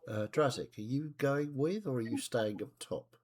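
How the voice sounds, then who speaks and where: A man's voice: mid-range voice, darkish tone, husky. A man narrating as game master of a tabletop game, describing scenes and asking players what they do. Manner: descriptive, measured, with asides. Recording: voice chat, live stream.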